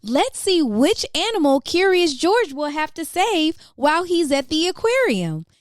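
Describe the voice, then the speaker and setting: A woman's voice: extremely high pitch, big pitch swings, a little slow, dry recording, clean studio sound. A woman reading a children's picture book aloud as a storytime host, with dramatic questions and exclamations for young listeners.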